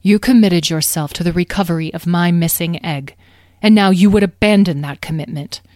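A woman's voice: mid-range voice, normal speed, mellow, no echo, clean studio sound, voice pushed up, natural voice. A woman voicing an anxious, protective mother dragon in a storybook, stern and pleading, with urgent, emphatic delivery. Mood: grim.

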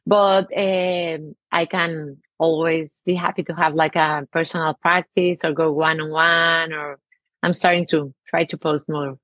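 A woman from Mexico with a Mexican Spanish accent, a yoga teacher speaking as a guest in a casual interview. She talks gently and searches for words, often restarting with "I mean". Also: low-pitched, little pitch movement, a little slow, dry recording, lo-fi sound.

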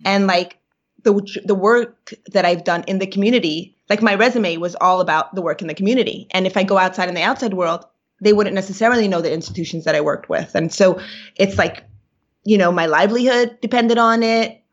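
A woman's voice: mid-range voice, fairly quick. Reflective woman, dull lo-fi sound. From New York. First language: Yiddish. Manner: reflective, searching for words, many fillers. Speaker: guest. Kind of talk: personal interview. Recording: dull lo-fi recording.

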